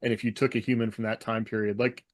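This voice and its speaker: A man's voice: fairly low pitch, little pitch movement, quick pace. A man hosting a podcast in a casual, conversational style, easygoing and informal. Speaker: podcast host. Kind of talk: casual podcast chat and sign-off.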